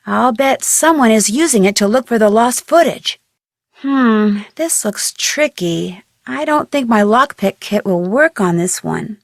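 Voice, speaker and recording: A young American woman playing an inquisitive, plucky amateur detective in an adventure game, speaking earnestly and expressively with clear enunciation. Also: fairly high pitch, no echo, studio quality. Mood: determined, musing. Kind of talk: scripted adventure game voice acting.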